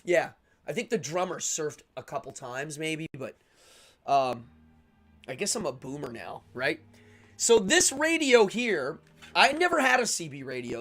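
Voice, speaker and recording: Middle aged american guy doing a live stream, kinda high voice, rambly and excitable, bit of music behind him. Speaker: livestream show host. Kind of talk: casual live stream chat.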